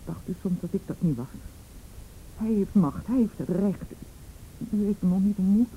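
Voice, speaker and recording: A woman's voice: mid-range voice, animated, moderate pace, phone-quality sound, a little hiss or hum, lightly acted. An actress playing a defensive, frightened mother in a Dutch radio play, hesitant and evasive, with an indignant edge. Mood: bitter, afraid. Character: defensive, evasive, frightened mother shielding her abusive husband.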